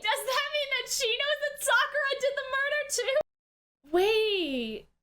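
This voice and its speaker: Very high, bright, animated woman reacting. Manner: exclamatory, slangy, thinking aloud. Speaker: reaction video host. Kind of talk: casual live reaction commentary.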